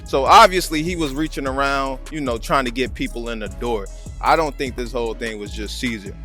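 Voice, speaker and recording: A man's voice: mid-range voice, brisk, sharp tone. A man with an American accent giving casual, slangy commentary as the host of a channel. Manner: slangy, conversational. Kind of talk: casual commentary on a video clip. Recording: music behind the voice. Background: background music.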